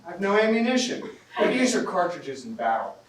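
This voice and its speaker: A man's voice: high voice, sing-song, fairly quick. A man on stage playing a weary, practical Swiss soldier in a classic comedy, wry, polite and self-deprecating. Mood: nervous, weary. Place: theatre stage, recorded from the audience. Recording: roomy, distant stage recording with hiss.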